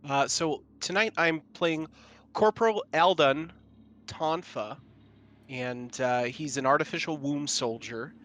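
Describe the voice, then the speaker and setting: Bright-voiced, enthusiastic male gamer. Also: fairly high pitch, slow. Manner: enthusiastic, slangy. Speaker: tabletop game player. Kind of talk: casual chat.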